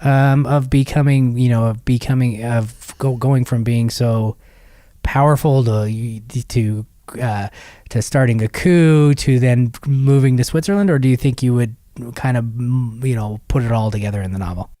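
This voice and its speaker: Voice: mid-range voice, steady tone, normal speed, dark tone, dry recording, clean studio sound. A podcast host asking rambling, hesitant questions full of fillers in a casual interview.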